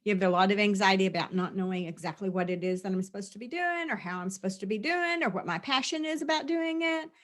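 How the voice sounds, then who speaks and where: A woman's voice: mid-range voice, expressive, quick pace, hardly any pauses. A middle-aged woman, a spiritual teacher, talking earnestly through a release session, often repeating and listing phrases.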